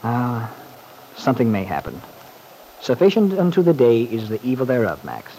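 An actor playing a wry, urbane, harried gentleman who speaks hesitantly in an old-time radio serial. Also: mid-range voice, very animated, normal speed. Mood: rueful, reflective. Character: wry, harried, well-off gentleman confiding in his manservant. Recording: faintly noisy old radio recording.

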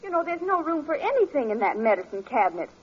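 A teenage daughter in an old radio family comedy. Her voice is very high and her delivery breezy. The recording sounds dull and dated. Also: sing-song, quick pace. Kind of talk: 1940s radio sitcom acting.

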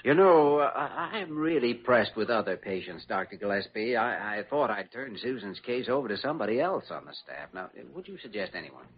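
An actor playing a genial, wry young doctor who talks smoothly and schemes, in old-time radio drama style. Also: male voice, mid-range voice, sing-song, a little fast, few pauses, close to an everyday voice. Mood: smooth, persuasive. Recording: dull vintage radio recording.

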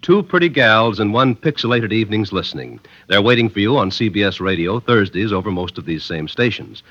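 A man's voice: on the low side, lively intonation, fairly quick, a bit bright, no echo. A man working as a radio announcer, reading scripted copy in a polished, formal 1950s style. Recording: dull vintage radio recording.

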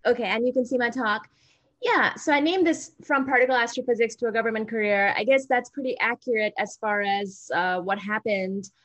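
A woman's voice: fairly high pitch, a little fast, fairly bright tone. Upbeat woman on a video call. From India. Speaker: guest speaker. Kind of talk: informal online talk with slides.

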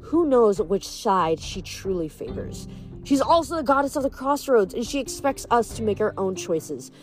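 A woman's voice: on the high side, very animated, normal speed. A woman voicing a vengeful female vampire demon leader in a fantasy story, taunting and snappish, with music behind her. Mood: defiant.

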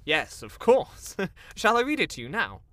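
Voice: medium pitch, wildly swooping pitch, a little slow, fairly bright tone, dry recording, clean studio sound. A performer playing a nervous, fawning, bumbling warlock minion in a comedic fantasy audio drama, hesitant and obsequious. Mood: eager.

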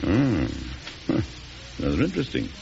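A man's voice: lowish voice, wildly swooping pitch, moderate pace, gravelly, mellow, full character voice. An older man playing an aging, stern and wry American president in an old-time radio drama, formal and commanding. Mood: wry, measured. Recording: hissy, muffled vintage radio recording.

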